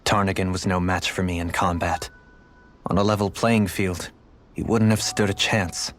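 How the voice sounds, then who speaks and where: An actor playing a refined, melancholy young lord in a video game, speaking in formal, eloquent, measured phrases. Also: male voice, low-pitched, little pitch movement, a little hiss or hum, lightly acted. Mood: sorrowful, regretful. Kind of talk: dramatic video game voice acting.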